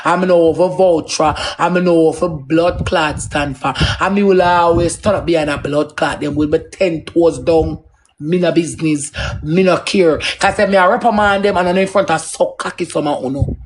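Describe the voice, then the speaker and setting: A man's voice: on the high side, steady tone, normal speed. A man with a Jamaican accent in a combative, profane, emphatic unscripted rant on a livestream. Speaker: social media live streamer.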